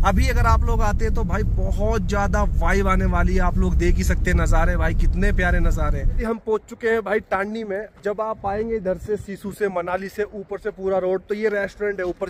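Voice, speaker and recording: A man guiding viewers on a casual mountain travel vlog, chatty and calling them brother, recorded outdoors. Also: high-pitched. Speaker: travel vlogger and tour guide. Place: outdoors in mountain valley and on road. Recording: faint outdoor noise.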